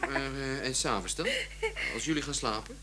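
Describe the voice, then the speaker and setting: An actor playing an earnest, hesitant family friend in a comic radio play, stammering and halting as he speaks. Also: mid-range voice, a crowd in the background, natural voice. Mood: puzzled. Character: hesitant, earnest male family friend, admiring great geniuses.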